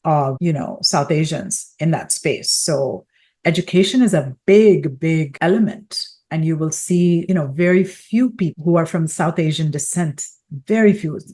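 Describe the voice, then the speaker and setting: A woman's voice: sits low, animated, a little slow, dark tone. A middle-aged woman from Pakistan hosting an interview podcast, explaining thoughtfully with fillers and restarts. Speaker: psychologist podcast host. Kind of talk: conversational interview.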